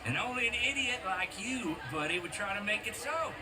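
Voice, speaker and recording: Nasty slurring drunk at a fancy party, high voice swooping all over, video game. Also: male voice, lively intonation, normal speed. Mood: drunken sneering. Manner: slurred, drawling, sneering drunk. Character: belligerent drunken bigot at a high-society party. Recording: game scene.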